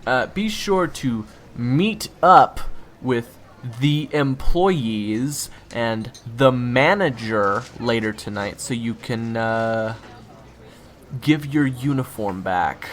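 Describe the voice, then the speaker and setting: A man plays an easygoing shop employee in an audio roleplay. He talks slowly and a little hesitantly, in a casual, mid-pitched voice. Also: lively intonation, slight background noise. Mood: polite, casual. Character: casual, friendly male customer-service worker.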